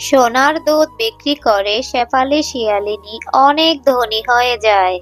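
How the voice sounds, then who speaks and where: A woman's voice: fairly high pitch, measured pace, loud music behind the voice. A woman reading scripted narration for a cartoon folk tale.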